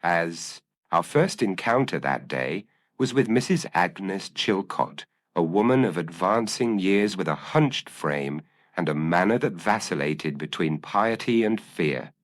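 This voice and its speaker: A man's voice: low-pitched, expressive, measured pace. A man reading scripted narration of a gothic mystery story.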